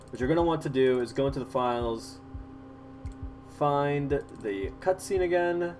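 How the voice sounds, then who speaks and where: A man hosting a casual software tutorial, explaining step by step in an informal, halting way. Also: mid-range voice, a little hiss or hum. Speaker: tutorial host.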